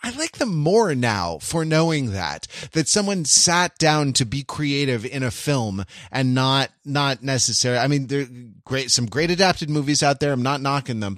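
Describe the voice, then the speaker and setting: A man co-hosting a podcast, chatting casually in a thoughtful, halting way as he works out his phrasing. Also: medium pitch, a bit bright, no room sound, studio quality. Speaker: podcast co-host. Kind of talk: casual film chat.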